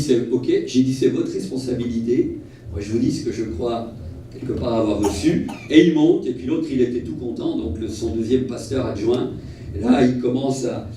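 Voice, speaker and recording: A man preaching as a travelling evangelist, telling personal stories in an earnest, animated way and repeating phrases for emphasis. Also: mid-range voice. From Portuguese family origin. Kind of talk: sermon with personal storytelling. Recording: echoey hall, distant mic, faint noise.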